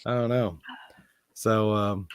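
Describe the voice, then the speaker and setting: Hoarse man hosting a live chat. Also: lowish voice, fairly even intonation, slow pace, no echo, clean studio sound. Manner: rambling, with many ums and uhs and small laughs. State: hoarse, sick, partly lost voice. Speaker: host of a live online horror movie hangout. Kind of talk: casual live-stream chat.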